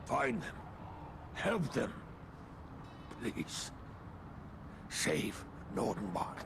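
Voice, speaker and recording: A man acting a dying dwarf warrior in a video game, weak and gasping, speaking in halting, broken phrases. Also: medium pitch, sing-song, gravelly, full character voice. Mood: weak, pleading. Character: dying, wounded dwarf warrior, defiant and pleading. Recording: loud noise behind the voice.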